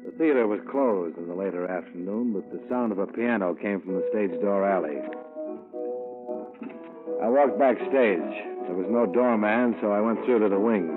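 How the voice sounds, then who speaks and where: An American actor playing a tough, wry insurance investigator in a 1950s radio detective drama, terse and hard-boiled. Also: male voice, lowish voice, moderate pace, lightly acted. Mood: wry narration. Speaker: lead actor and narrator of a detective serial. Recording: tinny vintage 1950s radio, music behind.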